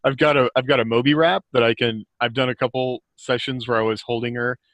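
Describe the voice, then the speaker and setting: An American man with a bright, forward voice talks casually as a podcast guest. The sound is close and dry, and he tells anecdotes in an informal, chuckling way. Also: mid-range voice, steady tone, brisk, no echo. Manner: informal, chuckles often while talking.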